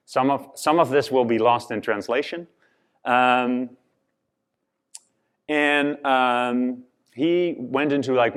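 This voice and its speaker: Dutch-accented man giving a conference talk. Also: medium pitch. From Netherlands. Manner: halting, many fillers and restarts. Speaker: conference speaker. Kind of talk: conference presentation.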